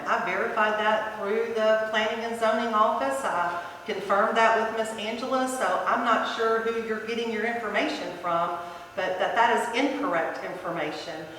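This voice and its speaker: A woman giving a firm rebuttal at a public meeting, calmly correcting claims as a district official. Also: medium pitch, a little hiss or hum. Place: city council chamber. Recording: echoey chamber mic from a distance.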